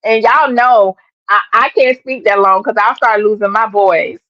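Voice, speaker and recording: A woman's voice: mid-range voice, steady tone, unhurried, pushed and bright, no echo. A woman hosting her own live stream, chatting emphatically and addressing her viewers directly. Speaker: livestream host and sports fan. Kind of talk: casual live-stream chat. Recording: dull lo-fi stream audio.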